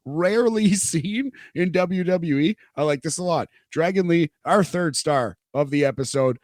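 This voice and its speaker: A man with a somewhat high voice co-hosts a wrestling podcast in loose, casual chat. He laughs readily and often trips over his words, repeating them as he goes. The sound is close and dry. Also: no room sound. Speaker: podcast co-host.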